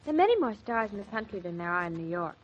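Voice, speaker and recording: An actress playing a bubbly, romantic young wife in a 1940s radio drama, eager and playful. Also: female voice, medium pitch, very animated, very fast. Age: young adult. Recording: dull old radio recording.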